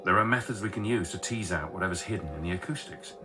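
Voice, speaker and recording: An actor playing a sardonic sound engineer with a wry, sarcastic way of talking. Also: male voice, deep voice, quick pace, over music, lightly acted. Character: sardonic male sound engineer, dry wit. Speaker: sound researcher. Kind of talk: radio drama acting.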